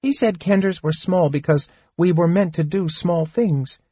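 A man doing a small, earnest kender with a raised, husky voice that hurries along and then settles into soberness. The sound is dull and lo-fi, as from an old audiobook. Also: sits high, warm tone, no room sound, pitched above the performer's own voice. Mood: wistful. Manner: eager rushing speech turning sober. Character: small earnest male kender, wistful and brave.

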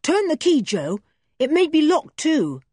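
Woman voicing an older boy, firm, insistent, raised pitch, dry. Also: sing-song, slow, dark tone, no echo, heavily acted. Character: bossy elder boy, protective. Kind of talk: storybook character voice.

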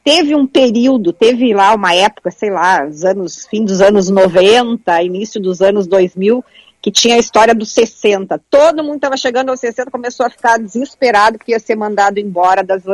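A woman's voice: mid-range voice, expressive, a little fast, dry recording, poor audio. A middle-aged woman co-host, chatting and teasing on a radio talk show with frequent restarts.

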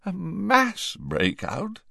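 A man's voice: mid-range voice, sing-song, measured pace, fairly bright tone, no room sound, studio quality, voice pushed up, exaggerated voice. A man voicing a harassed, exasperated middle-aged prime minister who splutters and hesitates.

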